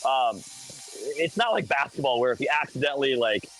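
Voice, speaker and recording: A man's voice: medium pitch, expressive, a little fast, low-quality recording. A man talking casually as a hockey radio announcer, explaining things conversationally in a live game-day report. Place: high broadcast booth inside a hockey arena.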